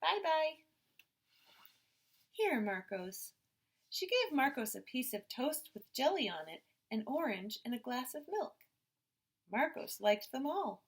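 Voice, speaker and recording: A woman teacher reading a picture book aloud to young children in expressive, simple phrases. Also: high voice, moderate pace, slightly rough.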